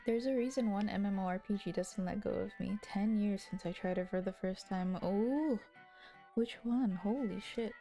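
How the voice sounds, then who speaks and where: A young woman with a slightly husky voice narrating a story and breaking off into giggly chatter. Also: medium pitch, moderate pace, warm tone, faint music behind. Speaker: streamer reading a visual novel aloud. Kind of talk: scripted narration with casual asides.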